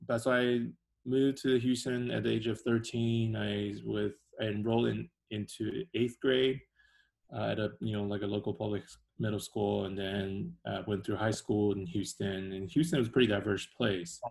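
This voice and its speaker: Soft-spoken man. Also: fairly low pitch, little pitch movement, normal speed, gravelly. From China. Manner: soft-spoken, rambling with restarts. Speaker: guest. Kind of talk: casual interview.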